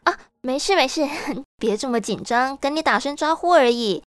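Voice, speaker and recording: A woman acting a friendly, brisk young sister-in-law who serves as caregiver, in an audio drama. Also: high voice, lively intonation, no room sound, studio quality. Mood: cheerful, breezy.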